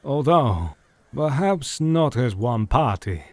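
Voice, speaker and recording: An actor playing a wise, commanding elderly doctor who leads a vampire hunt, speaking in a measured, formal way in an audio drama. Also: male voice, medium pitch, very animated, a little mellow, dry recording. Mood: resolute. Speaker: party leader planning the hunt.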